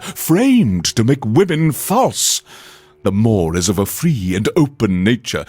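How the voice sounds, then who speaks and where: An actor playing a pompous, erudite alien overlord who hosts a game radio show, reciting in grandiose, carefully enunciated theatrical style. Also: male voice, mid-range voice, darkish tone, slightly rough, exaggerated voice. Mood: scheming, sinister. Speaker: radio DJ host.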